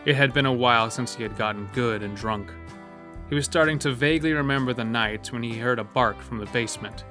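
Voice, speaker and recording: Male narrator for audio horror anthology, medium pitch, scripted prose delivery over subtle music. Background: soft music bed.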